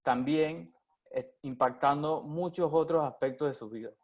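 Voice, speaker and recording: A man moderating a webinar over a video call, with a slightly higher pitch than most men. He reads a formal introduction in a measured, even way. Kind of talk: reading an introduction.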